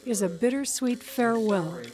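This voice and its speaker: A woman giving a measured, scripted documentary narration. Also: on the high side, a little mellow. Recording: voice-over with music beneath.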